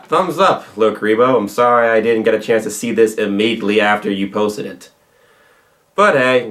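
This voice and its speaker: A man's voice: on the low side, fairly bright tone. A man giving casual reaction commentary as the host of a video.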